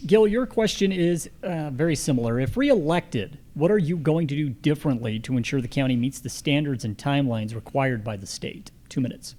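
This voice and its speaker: A man's voice: medium pitch, expressive, moderate pace, hardly any pauses, dark tone. An American man moderating a forum, reading out questions and time limits in an informal but orderly way.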